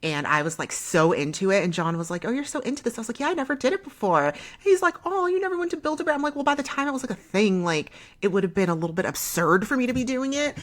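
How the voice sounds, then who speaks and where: A woman in her thirties chatting casually as a podcast co-host, telling stories colloquially and acting out reported exchanges in an animated way. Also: on the high side, fast talker, few pauses. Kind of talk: casual conversational storytelling with quoted dialogue.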